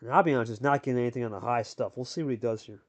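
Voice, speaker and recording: A man's voice: mid-range voice, rapid-fire. A man giving live sports-style commentary on a card game as host, talking colloquially and addressing players like buddies.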